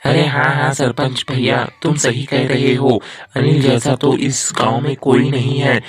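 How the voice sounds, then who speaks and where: A man's voice: medium pitch, flat delivery, measured pace, close to an everyday voice. A man playing a grateful, agreeable villager in a cartoon moral story, deferential in manner.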